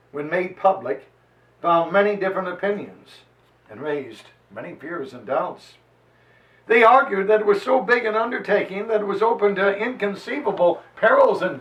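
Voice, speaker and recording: A man giving a solemn, declamatory dramatized reading of an old historical text, with emphatic pauses. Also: sits high. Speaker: preacher reading a history aloud. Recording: echoey room, far from the mic.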